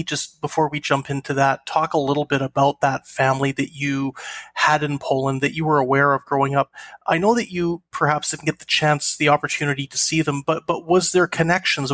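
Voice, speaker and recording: A man hosting a podcast, asking interview questions in a casual, slightly halting way. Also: on the high side, a bit bright. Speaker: podcast host. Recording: faint background hum.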